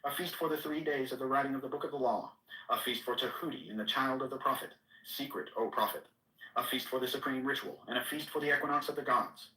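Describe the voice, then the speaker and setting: A man's voice: mid-range voice, bright, forceful tone. A man giving a solemn, declamatory reading of archaic mystical scripture. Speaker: reader. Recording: echoey room, distant mic.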